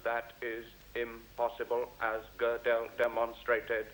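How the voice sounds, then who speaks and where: A man in a radio play voicing a primitive, emotionless computer, halting and clipped, with a robotic sound. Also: mid-range voice, completely flat delivery, fairly bright tone, rough recording. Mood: flat, malfunctioning. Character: primitive, faltering, emotionless male-voiced computer.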